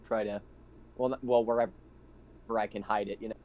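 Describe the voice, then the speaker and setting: A man's voice: fairly low pitch, little pitch movement, brisk, muffled recording. A man playing a tabletop roleplaying game, chatting casually and thinking aloud hesitantly. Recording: noticeable background noise.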